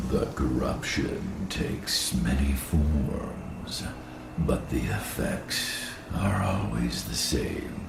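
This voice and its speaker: Abyssally deep, gravelly ancient spirit. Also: male voice, background noise, heavily acted. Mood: grave, urgent. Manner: slow, solemn, measured. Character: ancient weakened nature spirit, solemn and kindly guide. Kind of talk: dramatic video game acting. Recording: cavernous echo, distant, music underneath.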